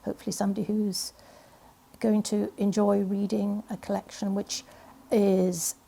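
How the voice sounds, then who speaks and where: Hesitant woman poet, gravelly voice. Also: medium pitch, flat delivery, normal speed, warm tone. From Wales. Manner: hesitant, many fillers and restarts. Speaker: poet being interviewed. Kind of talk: casual interview chat.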